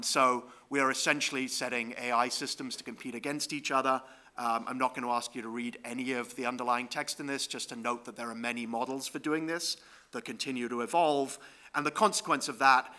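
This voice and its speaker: A man's voice: mid-range voice, bright, forceful tone. A man giving a conference talk over slides, explaining step by step with frequent ums and "right?" checks. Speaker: conference presenter.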